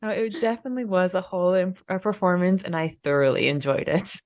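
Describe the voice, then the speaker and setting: Low-pitched woman co-hosting a fan podcast. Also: expressive, fast, darkish tone, dry recording. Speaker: podcast co-host. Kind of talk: casual fan discussion podcast.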